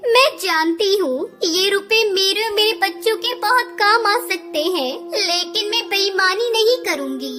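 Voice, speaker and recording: A woman voicing a gentle, honest blind beggar mother bird in a cartoon, speaking earnestly and pleadingly. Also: extremely high pitch, lively intonation, measured pace, few pauses. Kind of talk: Hindi cartoon story dialogue. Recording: music behind the voice.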